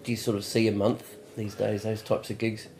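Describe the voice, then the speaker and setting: A man's voice: lowish voice, level tone, a little fast, darkish tone, a little hiss or hum. Easygoing male podcast host. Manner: affirming, lots of yeahs and ums. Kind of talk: casual interview chat.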